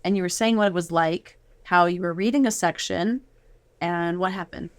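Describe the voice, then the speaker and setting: A woman's voice: lowish voice, normal speed, dry recording. A middle-aged woman hosting a casual, heartfelt studio interview in an encouraging, affirming way. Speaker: show host and mother of the guests.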